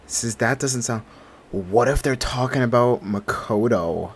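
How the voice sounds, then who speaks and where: A man's voice: medium pitch, measured pace, slight background noise. A young man doing casual livestream commentary on a game in an informal, slangy way. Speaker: game streamer.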